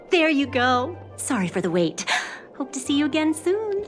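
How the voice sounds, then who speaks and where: High-pitched cheerful shopkeeper, very melodic, unhurried, polite, game dialogue over music. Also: female voice, exaggerated voice. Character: gentle female flower shop vendor, courteous and cheerful. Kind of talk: video game voice acting.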